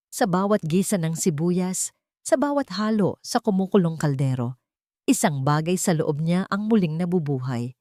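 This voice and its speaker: A Filipino woman reading a scripted love story in a measured, dramatic, sentimental way. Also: fairly low pitch, mellow, dry recording, studio quality.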